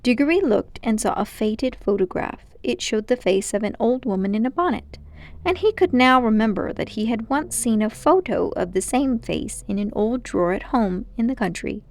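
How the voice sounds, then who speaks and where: A woman's voice: a little high, moderate pace, a little mellow. A woman storyteller reading a children's book aloud as narration.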